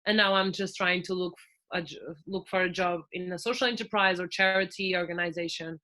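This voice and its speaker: A young Portuguese woman in her twenties talks as a podcast guest, her accent plain and her voice bright and forward. She chats loosely, leaning on fillers and restarting her sentences. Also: fairly low pitch, steady tone, a little fast. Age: 20s. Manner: chatty, with frequent fillers and restarts. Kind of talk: casual podcast interview.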